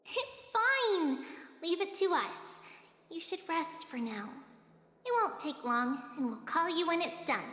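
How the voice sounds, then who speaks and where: A small, gentle demigod girl from an anime game, wise and wistful beyond her looks. The voice is high and childlike, with slow, measured phrasing. It rings in a long hall reverb with music underneath. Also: very animated, exaggerated voice. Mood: playful, caring.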